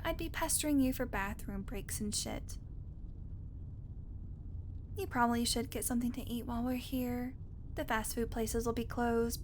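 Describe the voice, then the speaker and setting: A woman's voice: a little high, darkish tone, slight background noise, close to an everyday voice. A woman playing a flirty, sarcastic female vampire passenger in an audio roleplay, with a teasing, wry manner. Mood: casual, boastful. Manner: teasing, wry, casual swearing.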